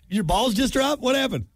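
A young man with a gravelly, scratchy voice, co-hosting a morning radio show in casual, joking banter and laughing often. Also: very high voice, a little slow, dry recording, clean studio sound. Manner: jokey, animated, laughs often.

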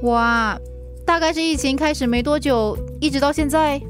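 Actress doing easygoing singaporean delivery rider, radio play, high chatty voice, music behind. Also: female voice, moderate pace, natural voice. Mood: friendly, chatty. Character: friendly, easygoing experienced food delivery rider. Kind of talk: radio drama acting.

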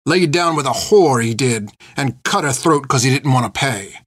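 A gruff old reformed pirate, played by a male narrator, haunted and fierce yet tender underneath. He talks in folksy, ungrammatical seaman's speech, in a dramatic audiobook performance. The sound is very dry and close. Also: mid-range voice, moderate pace, no echo, natural voice. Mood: bitter fury. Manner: folksy, ungrammatical rustic old-sailor talk. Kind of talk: dramatic audiobook character acting.

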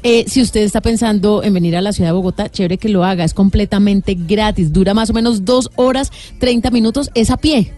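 Mellow-voiced woman radio host. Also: medium pitch, fairly quick, hardly any pauses. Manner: upbeat, promotional, chatty. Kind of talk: casual radio chat.

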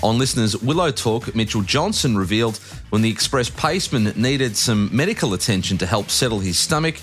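A man's voice: fairly low pitch, expressive, brisk, fairly bright tone. Sports news host, male, Australian, scripted narration, light music bed underneath.

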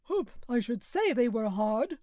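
A woman's voice: on the high side, big pitch swings, measured pace, mellow, dry recording, clean studio sound. A woman reading a big, blunt peasant wife.

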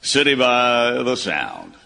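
Radio play: elderly ex-broadcaster, folksy and grandiose, forceful announcer swoops, reverberant hall. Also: male voice, wildly swooping pitch, slow, gravelly, heavily acted. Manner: booming announcer delivery at home. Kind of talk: old-time sportscaster patter, radio play. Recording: reverberant hall, faint noise.

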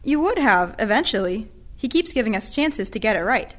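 Woman acting a high-voiced, patient care aide. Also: big pitch swings, normal speed. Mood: soothing. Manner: gentle, encouraging. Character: kind, patient, encouraging care-home aide. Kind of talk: audio play acting.

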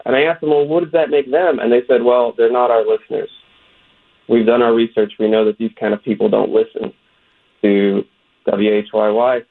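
A man's voice: mid-range voice, fairly even intonation, normal speed, gravelly. Man talking on a tinny phone line. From West Virginia, USA. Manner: earnest, recounting with quoted back-and-forth. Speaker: guest, comedian. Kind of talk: phone-in interview.

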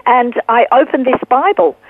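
A woman in her sixties with an Australian accent, a guest telling her story earnestly and unhurriedly, with pauses. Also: sits high. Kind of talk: casual interview storytelling. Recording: tinny phone line.

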